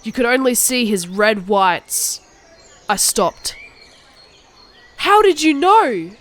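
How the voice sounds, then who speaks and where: A woman's voice: on the high side, very animated, slow pace, fairly bright tone. A woman narrating a cartoon story in the first person as a melodramatic, angsty goth teenage girl.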